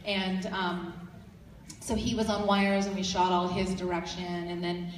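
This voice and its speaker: A woman with a low voice answering fan questions at a convention panel, rambling with lots of fillers and restarts. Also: level tone, measured pace, a little hiss or hum. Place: large convention hall. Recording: echoey hall, distant mic.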